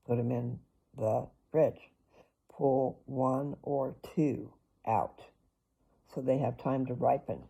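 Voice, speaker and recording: An older woman with a gravelly, husky voice. She rambles loosely through a casual personal vlog and talks straight to her viewers. Her tone is dark and mellow. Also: very deep voice, poor audio. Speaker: vlogger.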